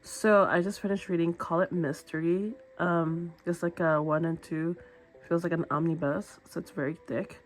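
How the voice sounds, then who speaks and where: A woman with a raspy, nasal voice from a stuffy nose, chatting casually about a manga she read, rambling with fillers and restarts. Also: fairly low pitch, steady tone, normal speed. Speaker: manga reading vlogger. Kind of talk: casual book review chat.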